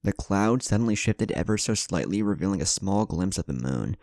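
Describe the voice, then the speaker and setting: A man's voice: low-pitched, expressive, moderate pace, darkish tone, dry recording, studio quality. A man narrating a scripted story in a dramatic style.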